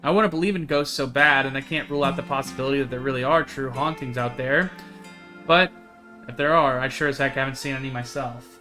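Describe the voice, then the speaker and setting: A man's voice: medium pitch, fast talker, pushed and bright, lightly acted. A man voicing a chatty, earnest young woman who hunts cryptids in a visual novel, with a folksy, eager way of talking. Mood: chatty, wistful. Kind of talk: visual novel dialogue read aloud. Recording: music behind the voice.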